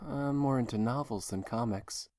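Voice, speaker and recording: A woman voicing a shy, soft-spoken young man who stammers and hesitates in a fan audio drama. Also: very deep voice, normal speed, dry recording. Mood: weary.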